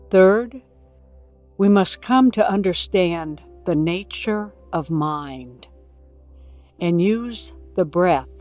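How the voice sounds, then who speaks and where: Female meditation guide, lowish pitch, very slow, pausing between short phrases, music underneath. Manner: deliberate, short phrases with pauses. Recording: slightly dull, lo-fi recording with music. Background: background music.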